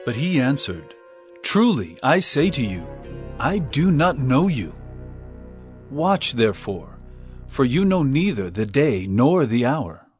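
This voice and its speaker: Solemn, animated prophet over music. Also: male voice, medium pitch, slow. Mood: storytelling, emphatic. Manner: earnest, declamatory, emphatic. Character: solemn male prophet-teacher delivering warnings and parables. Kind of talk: dramatized scripture narration.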